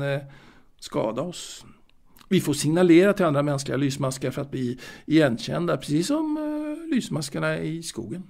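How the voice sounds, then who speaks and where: A male actor playing a wistful, bookish, secretive man who recalls his youth in a halting, confiding audio drama monologue, with a creaky voice. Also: a little high, darkish tone. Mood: confiding, reflective. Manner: hesitant, halting, repeating words.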